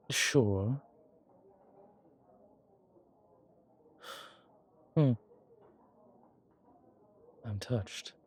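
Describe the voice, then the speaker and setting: A man playing an ancient vampire commander in an intimate audio roleplay, speaking with formal, old-fashioned diction and a measured, haughty manner. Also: lowish voice, a little mellow. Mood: tender, touched. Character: ancient male vampire commander, haughty yet tender to his companion. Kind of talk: intimate audio roleplay acting, one side of a conversation.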